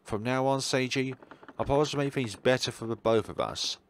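A man's voice: mid-range voice, normal speed, voice pushed up, close to an everyday voice. A man voicing an anxious, remorseful male student in a horror visual novel, earnest and sometimes urgent. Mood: earnest, apologetic. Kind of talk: visual novel read aloud dramatically.

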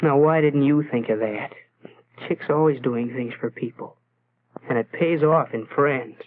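An actor playing a lonely, self-doubting teenage schoolboy, musing to himself in a brooding way in a 1940s educational film style, on a tinny, noisy soundtrack. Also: male voice, medium pitch, a little slow, natural voice. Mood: self-reproachful. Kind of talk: 1940s educational film inner-monologue narration.

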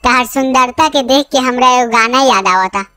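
A man voicing a cheeky young schoolboy in a comedy cartoon, with an impudent, teasing delivery and a pitched-up voice. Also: normal speed, sharp tone. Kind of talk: amateur comic cartoon dubbing.

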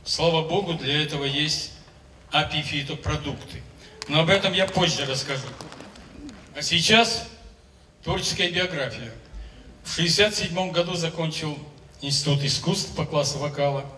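A man in his seventies, a singer, telling his story on a concert stage into a handheld microphone, in a measured, declamatory way. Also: on the high side, bright, forceful tone, a little hiss or hum. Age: 70s. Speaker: singer giving a testimonial on stage. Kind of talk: stage speech to an audience. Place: large concert hall stage. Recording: roomy hall sound from a distance.

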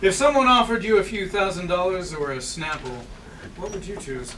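A man's voice: high voice, normal speed, lightly acted. A man playing a pompous, dim-witted martial-arts master in a sitcom table read. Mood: defensive. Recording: distant camera mic, clearly noisy room.

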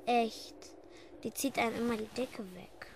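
A female performer playing a stubborn, earnest, grieving little girl with a creaky voice in an audio drama. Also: fairly high pitch, level tone, measured pace, a little hiss or hum. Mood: stubborn, pleading. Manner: earnest and insistent.

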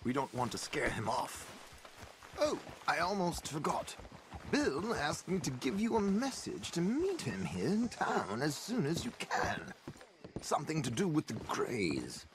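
High, lilting dapper Old West con man. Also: wildly swooping pitch, measured pace, noisy background. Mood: casual, confiding. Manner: genteel, theatrical, flowery phrasing. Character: dapper, theatrical middle-aged male con artist in Old West. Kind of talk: video game voice acting.

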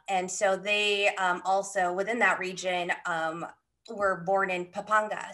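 Female panelist, 30s, average pitch, casual, hesitant phrasing. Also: fairly bright tone. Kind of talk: casual explaining. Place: indoor room on video call.

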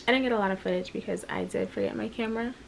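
A young woman chats straight into a close camera in her small bedroom, loose and candid, trailing off into fillers. Her mid-pitched voice carries a gravelly fry at the ends of lines. A faint hiss of room noise sits underneath. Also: very fast. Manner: chatty, candid, rambling with fillers. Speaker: vlogger. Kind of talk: casual vlog talk to camera. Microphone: camera close at arm's length.

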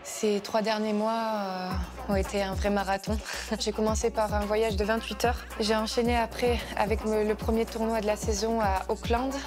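A woman's voice: medium pitch, flat delivery, normal speed. A woman in her twenties from France, a tennis player telling her story in a reflective documentary interview. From France, arrived from Ukraine at age two. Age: 20s. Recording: music bed under the voice.

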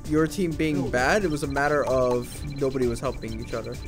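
A man's voice: mid-range voice, fairly even intonation, brisk. A young man chatting casually while playing a strategy game online, teasing his opponents. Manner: teasing, playful. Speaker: gamer. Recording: game music behind the voice.

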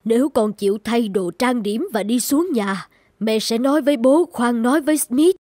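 A woman voicing a calm, persuasive middle-aged mother in a cartoon drama. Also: a little high, normal speed, no echo, clean studio sound, lightly acted. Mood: coaxing. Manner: persuasive, placating. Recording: music behind the voice.

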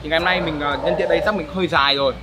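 A man's voice: on the high side, steady tone, very fast, a loud crowd. A man, chatting casually to camera in a vlog with plenty of fillers. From northern Vietnam. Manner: chatty, upbeat, filler-heavy. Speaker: vlogger. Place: barbershop and car at night.

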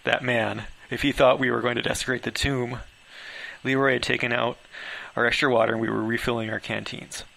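A man's voice: mid-range voice, flat delivery, a little fast, creaky, sharp tone, poor audio. A man reading his own story aloud as amateur first-person narration, occasionally stumbling over words.

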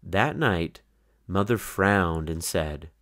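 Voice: low voice, slow, fairly bright tone. A narrator reading a children's picture book aloud in a scripted storytelling style.

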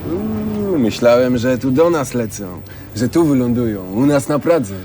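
An actor playing a naive, wide-eyed youngster full of wonder in a radio drama dialogue. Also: male voice, medium pitch, expressive, unhurried, mellow, a little hiss or hum, close to an everyday voice.

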